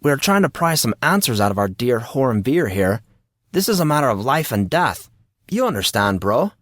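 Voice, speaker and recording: A man's voice: mid-range voice, big pitch swings, normal speed, no echo, clean studio sound. A man voicing a cheeky, laid-back teenage boy with a breezy, mock-dramatic manner. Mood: mock-serious. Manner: breezy, slangy.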